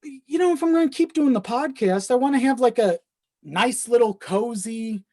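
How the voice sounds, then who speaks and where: Young adult on a podcast, rambly and candid, swears a bit, mid pitched voice. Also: normal speed, mellow. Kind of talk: casual chat. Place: home office in a small self-built shed. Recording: slightly echoey unpadded shed.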